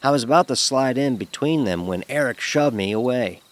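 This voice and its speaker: A man telling a first-person horror story in a dramatic, expressive narrating style. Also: mid-range voice, normal speed. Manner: expressive, acts out dialogue.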